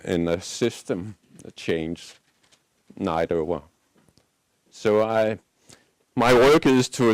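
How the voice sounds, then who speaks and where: Danish male panelist, low gravelly voice, dry close sound, hesitant second-language delivery. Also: darkish tone, no echo. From Denmark. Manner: hesitant, halting, searching for words. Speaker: panelist, agricultural policy advocate. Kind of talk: unscripted panel remarks.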